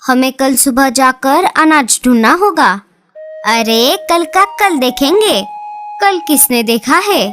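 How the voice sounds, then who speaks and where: Woman, cartoon bird voices, high voice, slow sing-song delivery, faint music underneath. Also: expressive, fairly bright tone. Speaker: voice of several cartoon birds. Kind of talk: cartoon dialogue acting.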